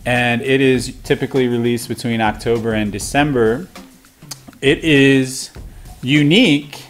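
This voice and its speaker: A man's voice: mid-range voice, a little slow. A man in his thirties hosting a casual beer review show, explaining at length and upbeat with plenty of fillers. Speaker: show host. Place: indoor studio set with wooden backdrop.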